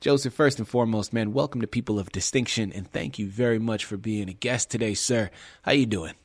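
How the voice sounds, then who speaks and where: A man's voice: fairly low pitch, a little fast, no room sound, clean studio sound. Enthusiastic male radio host. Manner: enthusiastic, conversational. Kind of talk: radio interview hosting.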